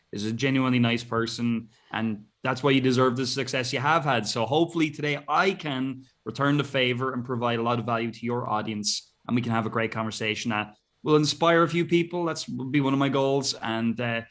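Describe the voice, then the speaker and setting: A man's voice: mid-range voice, fairly bright tone. Irish man in his thirties, conversational. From Ireland. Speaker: interview guest, entrepreneur. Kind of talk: casual conversation.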